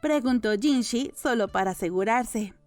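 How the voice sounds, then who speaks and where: A woman's voice: fairly high pitch, moderate pace, a little mellow, faint music behind. A woman narrating a novel as a scripted audiobook.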